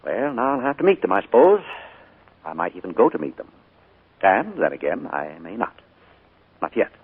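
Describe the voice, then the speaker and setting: Sinister fugitive for an old radio play,: very deep, husky, swooping pitch, tinny broadcast sound. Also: male voice, huge pitch swings, normal speed, exaggerated voice. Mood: sinister calm. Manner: quiet, smooth, with a menacing edge. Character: calm, sinister middle-aged man hiding from pursuers. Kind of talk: 1940s radio acting.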